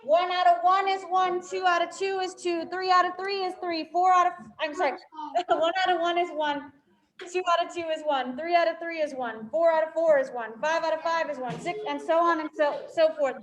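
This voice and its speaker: A woman's voice: very high-pitched, steady tone, moderate pace, echoey room. A woman with a New York accent teaching a fifth-grade math class, working problems aloud and quizzing her students. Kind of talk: live classroom math lesson.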